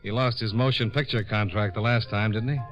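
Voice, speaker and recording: Male actor as hard-boiled American private investigator, sardonic and dry, low register, dull vintage radio sound. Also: fairly even intonation, brisk, faint music behind, lightly acted. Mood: skeptical, cool. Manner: clipped, dry, cynical. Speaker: lead actor and narrator in a radio detective drama. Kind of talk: 1950s radio noir acting and narration. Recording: muffled vintage radio recording.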